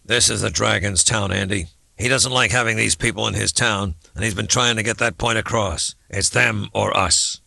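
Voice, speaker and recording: A man's voice: lowish voice, normal speed, creaky, sharp tone, no room sound, heavily acted. A man voicing a hard, menacing small-town boss in an audiobook, speaking in a commanding, threatening way.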